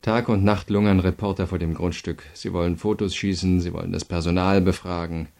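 An actor playing a calm, insistent state prosecutor who questions a prisoner in a measured way, in a radio crime drama. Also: male voice, low voice, gravelly. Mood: grave, probing.

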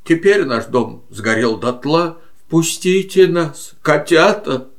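Man as a slow, humble old tomcat. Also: fairly high pitch, animated. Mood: humble pleading. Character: homeless lame old tomcat, humble yard-keeper. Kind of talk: theatrical children's audio play in verse.

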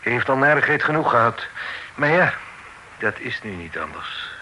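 An actor playing a concerned, courteous gentleman, measured and polite, in a Dutch radio drama. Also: medium pitch, big pitch swings. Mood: regretful, helpful. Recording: slightly noisy old radio recording.

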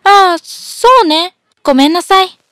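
A woman's voice: extremely high pitch, a little slow, fairly bright tone, no echo, studio quality. A woman voicing a sweet, polite young toy ballerina in a children's fairy-tale cartoon. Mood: sweet, apologetic.

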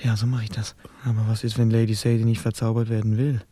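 A man's voice: lowish voice, flat delivery, fast talker, dark tone, no room sound, lightly acted. A man speaking scripted lines in a fairy-tale radio play.

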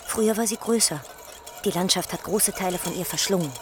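An actress playing a young signalman's daughter in a radio play, calmly and deadpan telling of eerie things. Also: on the low side, a little fast, natural voice. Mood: deadpan, foreboding. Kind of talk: German radio play dialogue.

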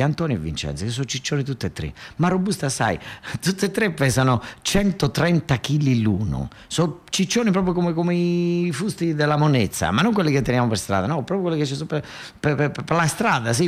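Animated southern Italian male storyteller. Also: mid-range voice. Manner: colloquial, repetitive, dialect-tinged delivery. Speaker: storyteller and stage actor. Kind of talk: theatrical comic monologue storytelling.

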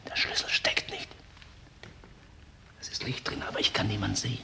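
A man's voice: fairly low pitch, fairly even intonation, normal speed, creaky, bright, forceful tone, in a whisper, heavily acted. An actor playing a wry detective who defers to his chief in a 1960s German crime radio play. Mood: hushed, curious. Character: eager male police detective, dryly deferring to his chief.

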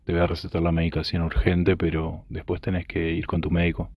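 A man playing a calm, supportive doctor in a student radio drama. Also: deep voice, a little fast, no echo, clean studio sound. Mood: matter-of-fact.

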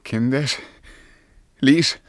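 An actor playing an imperious, brooding emperor in a verse radio drama, declamatory and commanding in a solemn, measured way. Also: male voice, mid-range voice, dry recording, studio quality. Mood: tense, demanding. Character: imperious, brooding Byzantine emperor, haughty and troubled.

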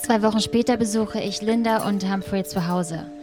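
An actress playing a composed, probing reporter who narrates a documentary-style radio play. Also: female voice, mid-range voice, moderate pace, music in the background, natural voice.

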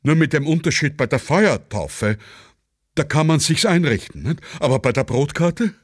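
A man's voice: a little high, lively intonation, normal speed, dry recording, clean studio sound, pitched above the performer's own voice. Man putting on a pompous, complaining Viennese big eater. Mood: self-important. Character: blustering, self-important Viennese man, a heavy eater obsessed with rations. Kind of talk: satirical radio play dialogue.

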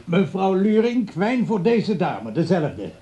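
Proud, fussy old gentleman, genial, soft-toned and husky, radio drama. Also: high voice, big pitch swings, slow pace, heavily acted. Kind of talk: radio play acting.

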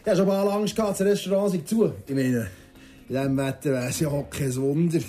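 An actor playing a courteous, slightly hesitant café guest in a Swiss German radio drama. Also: male voice, a little high, a little fast, dark tone, faint music behind, natural voice. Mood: polite, tentative. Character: polite, hesitant stranger dropping into a café.

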